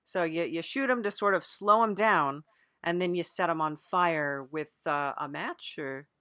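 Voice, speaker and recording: Casting: female podcast interviewer, slightly low voice, agreeable and tentative straight foil in improvised comedy, close dry sound. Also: a little slow, pushed and bright, dry recording. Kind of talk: improvised mock interview, casual.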